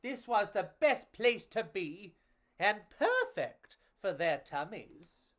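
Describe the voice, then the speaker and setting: Animated, unhurried woman storyteller. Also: medium pitch. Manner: theatrical, measured, playful. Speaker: children's storyteller. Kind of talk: expressive storybook reading for children.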